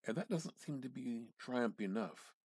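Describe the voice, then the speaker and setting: Husky, slow-paced man reading dramatically. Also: mid-range voice, lively intonation, a little mellow, no echo, clean studio sound. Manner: theatrical, halting phrases with pauses and emphatic repetition. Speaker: amateur reader. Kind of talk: dramatic reading of a literary short story.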